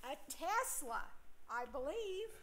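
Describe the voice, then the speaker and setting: Older woman as high, slow gossipy niece. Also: very animated, fairly bright tone, a little hiss or hum, exaggerated voice. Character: gossipy, practical-minded niece Ann. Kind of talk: staged play reading.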